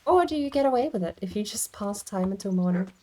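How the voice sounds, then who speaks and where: A woman's voice: mid-range voice, lively intonation, fast talker, background noise. A woman streaming a game from home, rambling and thinking aloud as she plays. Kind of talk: casual gameplay commentary.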